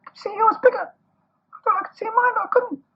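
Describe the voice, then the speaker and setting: A man voicing a small, childlike storybook animal with a squeaky, eager, excited voice. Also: brisk. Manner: breathless excited childish chatter. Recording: dull lo-fi recording.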